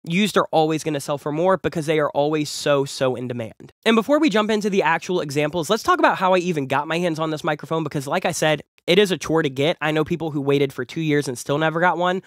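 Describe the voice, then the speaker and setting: Young adult man, quick and chatty, slightly nasal from a cold, dry close studio sound. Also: a little high, dry recording. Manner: enthusiastic, chatty, repeats words for emphasis. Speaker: music producer and YouTube host. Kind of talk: casual gear review talk. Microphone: large studio condenser close up.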